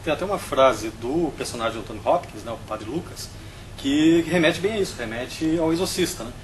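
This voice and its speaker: A Brazilian man on a film review panel, explaining his views in a steady, analytical way. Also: medium pitch, brisk, a little hiss or hum, poor audio.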